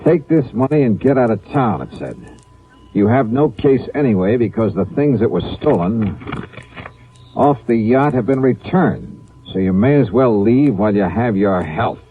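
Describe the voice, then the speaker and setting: An actor playing a tough, persistent investigator in an old radio detective drama, with clipped questioning and wry narration. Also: male voice, mid-range voice, lively intonation, normal speed, a little hiss or hum, lightly acted. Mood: hard-boiled narration. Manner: clipped, probing questioning and hard-boiled narration. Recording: narrow-band old radio recording, music behind.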